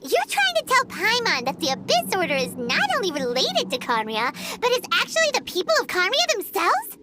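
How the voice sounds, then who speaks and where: Very squeaky childlike fairy companion. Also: female voice, wildly swooping pitch, normal speed, very noisy, full character voice. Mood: questioning, confused. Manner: exclamatory, refers to self by name. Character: tiny chirpy childlike fairy companion, excitable and inquisitive. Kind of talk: animated video game voice acting. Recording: loud game music behind the voice.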